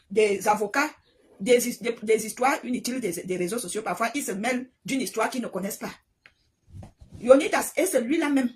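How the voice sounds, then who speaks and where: A woman from Cameroon, giving an impassioned spoken monologue full of stammering restarts. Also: fairly high pitch. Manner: animated, repetitive, stammering restarts. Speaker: online commentator.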